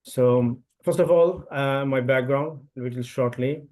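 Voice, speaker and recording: Brief: man from India, native Telugu speaker, mid-pitched voice, halting webinar presenter, lots of ums and restarts. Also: darkish tone. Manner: hesitant, many fillers and restarts. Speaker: event speaker. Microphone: computer mic on video call.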